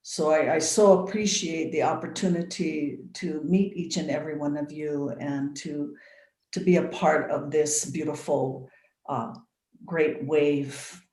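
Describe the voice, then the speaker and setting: An older woman from Hawaii speaking on a video call. She gives a soft, prayerful blessing with long pauses and repeated phrases. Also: low voice. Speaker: invited speaker. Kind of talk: slow, prayerful spoken blessing. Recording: echoey room on a video call.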